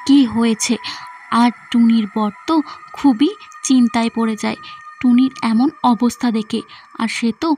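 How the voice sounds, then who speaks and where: A woman with a fairly high voice narrates a scripted cartoon story for children. Soft music plays behind her. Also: steady tone, unhurried, pauses often. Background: background music.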